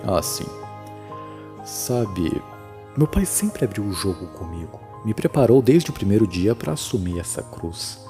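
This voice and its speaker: A man's voice: lowish voice, sing-song, unhurried, warm tone, soft background music. A Brazilian man voicing a dead father's ghost, an older, sardonic, fatalistic man, in a horror audio drama. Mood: bitter, resigned.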